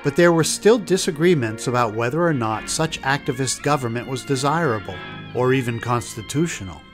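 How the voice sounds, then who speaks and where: A man's voice: mid-range voice, animated, fairly quick, music in the background. A man narrating history from a script as a documentary narrator.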